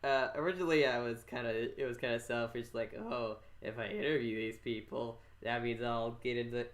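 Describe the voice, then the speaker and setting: A man chats as the host of a casual podcast. His voice sits at a middle pitch. He rambles in a halting way, circling back and repeating phrases as he goes. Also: steady tone.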